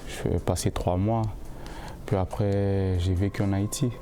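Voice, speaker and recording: A young male football player answering interview questions in a casual, halting way, often repeating words while he looks for the phrasing. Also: low-pitched, level tone, mellow, slight background noise. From born in the United States, raised in Canada. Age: young adult.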